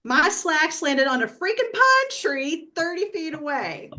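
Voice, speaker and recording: A woman playing a brash, earthy abductee in a comedy sketch read, telling it in a blunt, folksy, deadpan way. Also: high-pitched, measured pace, exaggerated voice. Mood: annoyed.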